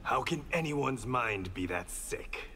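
An actor playing a stern, defiant blind swordsman in a video game, grim and forceful, with an echoing sound. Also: mid-range voice, lively intonation, slow, slight background noise. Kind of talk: video game fighter dialogue.

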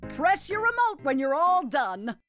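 High, sing-song jolly cartoon granny. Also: female voice, very animated, a little slow, fairly bright tone, faint music behind, heavily acted. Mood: excited, congratulating. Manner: sing-song, gushing, doting. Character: jolly, plump, grandmotherly woman hosting a children's game. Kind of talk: animated children's game hosting.

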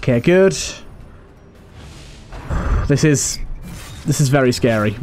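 A man's voice: mid-range voice, expressive, takes it slowly, darkish tone. A man giving casual let's play commentary in an anxious, exasperated way, often repeating himself. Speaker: gaming YouTuber and host. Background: game music.

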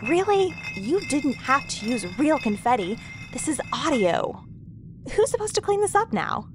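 High, lively alien podcast host, noisy backdrop. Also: female voice, unhurried. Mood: wry. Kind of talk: mock podcast banter in audio drama. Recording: noticeable background noise.

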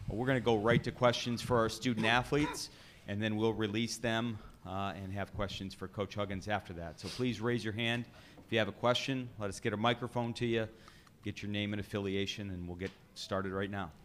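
A man moderating a postgame press conference, brisk and procedural as he calls on reporters. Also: on the low side, steady tone, fairly bright tone, slight background noise. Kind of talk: moderating questions.

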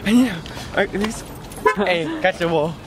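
A young man bantering with a friend on camera, jokey, loud and swearing. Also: high-pitched, normal speed, over a noisy crowd. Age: young adult. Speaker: friend of the vlogger. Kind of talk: casual banter. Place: outdoor night market and a car. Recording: noisy handheld camera audio.

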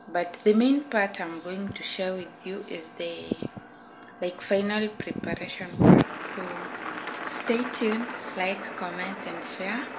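A young adult woman chatting casually and affectionately to viewers as a cooking vlogger, effusive in manner, recorded indoors on a phone at arm's length. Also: mid-range voice. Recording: clearly noisy background.

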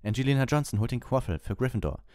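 Man putting on an excitable match commentator, pitched up. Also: fast talker, dry recording, clean studio sound, lightly acted. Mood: urgent. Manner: urgent, exclamatory. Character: excited young sports match commentator, partisan and shouting.

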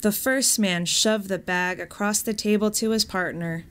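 A woman reading a scripted story in a measured, expressive storytelling manner. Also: medium pitch, clean tone. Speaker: story reader, actor.

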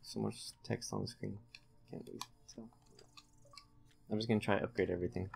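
A man's voice: lowish voice, fairly even intonation, brisk, gravelly, a little mellow, slightly rough. A man giving casual, laid-back, joking gameplay commentary to a friend, thinking aloud as he plays. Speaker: let's play host, playing the game.

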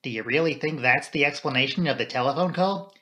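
A man's voice: medium pitch, fast, bright, forceful tone, natural voice. Man acting a blunt, grave police inspector. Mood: eager. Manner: blunt, matter-of-fact, stubborn. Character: grave, plain-spoken local male police inspector, fussy.

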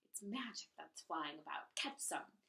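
A woman's voice: mid-range voice, very animated, moderate pace. A woman putting on a harsh bossy stepmother. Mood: scheming whisper. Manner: snapping, loud commands. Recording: roomy sound, mic at a distance.

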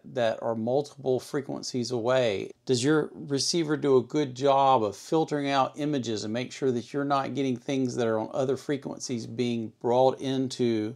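A man's voice: medium pitch, gravelly, darkish tone. A man hosting a hobby video, giving a casual hands-on demonstration and explaining things, often by asking and answering his own questions. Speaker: host of an electronics hobby channel.

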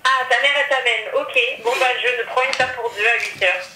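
A woman's voice: a little high, a little fast, bright, forceful tone, echoey room, slight background noise. A woman talking casually on a phone call heard over a speaker in a room. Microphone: phone call picked up in room.

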